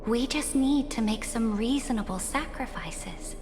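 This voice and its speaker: An actress playing an imperious, ambitious emperor in a video game, proud and calculating, with a regal, commanding delivery. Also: female voice, a little high, unhurried, in a large hall, music in the background. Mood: proud, resolute. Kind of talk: scripted video game voice acting.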